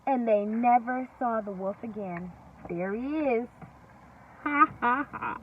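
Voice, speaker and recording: A woman teacher reading a story aloud to young children, expressive and deliberate, pausing to explain and ask questions. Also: fairly high pitch. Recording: distant, dull lo-fi recording with faint noise.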